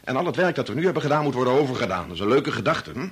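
An actor in a Dutch radio play playing a self-absorbed, irritable medical researcher who talks insistently and repeats himself. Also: male voice, mid-range voice, big pitch swings, rapid-fire, husky. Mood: impatient. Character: embittered, self-absorbed male medical researcher, agitated husband.

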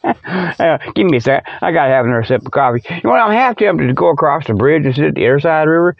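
A man's voice: a little high, lively intonation, fast, gravelly, no echo, muffled recording. A man hosting a comic show, rambling off the cuff in a joking, folksy way with loose colloquial grammar and chuckles at himself. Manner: colloquial rural-style grammar such as ain't and them.

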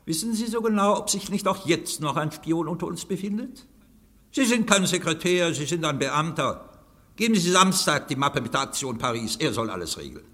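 An actor playing a commanding, mocking anarchist council president in a radio play. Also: male voice, expressive, moderate pace, fairly bright tone, big-room sound. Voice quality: imperious, scornful, booming.

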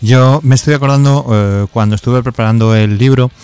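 A man explaining and telling anecdotes in a measured, conversational way as an interview guest. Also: medium pitch, darkish tone, clear, resonant tone. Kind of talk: conversational storytelling.

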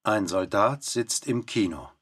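A man's voice: fairly low pitch, lively intonation, a little slow, a bit bright, husky, heavily acted. A man speaking scripted commentary in a documentary radio play. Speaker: narrator and commentator.

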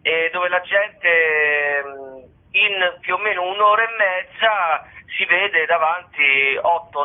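A man, a comedian guest in a casual interview, rambling and repeating his phrases. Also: a little high. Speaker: comedian, guest promoting a variety show. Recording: tinny phone-like radio sound.

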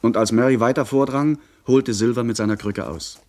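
An actor playing a young gentleman who recounts a pirate adventure as the narrator of a radio play, in measured literary storytelling. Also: medium pitch, lightly acted. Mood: tense, narrating.

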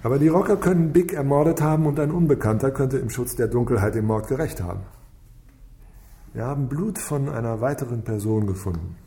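Man playing a mellow-toned, brusque police inspector. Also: mid-range voice, fairly quick, natural voice. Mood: brisk, impatient. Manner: brusque, sarcastic, commanding. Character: impatient, sarcastic male police inspector leading a murder case. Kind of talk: naturalistic radio crime drama acting.

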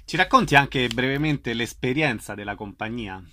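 Male interviewer, mid-pitched voice. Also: moderate pace, bright, forceful tone, slight background noise. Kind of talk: short conversational interview questions.

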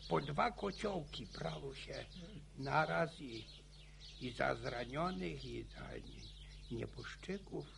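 An actor playing an old village grandfather in a radio play, spinning boastful war yarns with a gravelly, stammering, chuckling voice. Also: mid-range voice, big pitch swings, slow pace, noisy background, exaggerated voice. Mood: boastful reminiscing. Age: older. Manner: chuckling folksy yarn-spinning. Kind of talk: radio drama acting.

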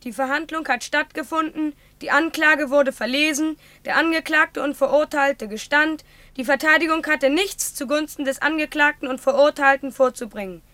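A woman's voice: sits high, normal speed, bright, forceful tone, dry recording. A woman playing a stern, pompous child who formally reads out a court record in a German radio play. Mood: officious, stern. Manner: officious, formal recitation.

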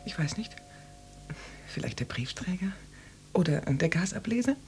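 An actress playing a coy, evasive housewife in her thirties in a German radio drama, husky and hesitant as she plays along. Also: fairly low pitch, big pitch swings, normal speed, a little mellow, slight background noise. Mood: coy, amused.

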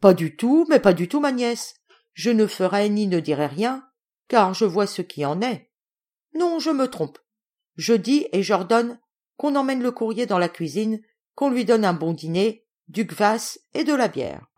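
A woman's voice: mid-range voice, expressive, a little slow, a little mellow, pitched above the performer's own voice. Woman acting out a blunt, wry old general. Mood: amused, commanding. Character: gruff, kindly old general, wry great-uncle.

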